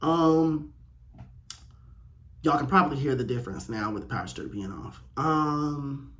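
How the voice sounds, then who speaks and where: A man's voice: fairly high pitch, a little slow, a bit bright. A man hosting a casual solo podcast, reading aloud and reacting in a candid, sassy way with playful swearing. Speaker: podcast host. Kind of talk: casual chat reacting to a quiz read aloud.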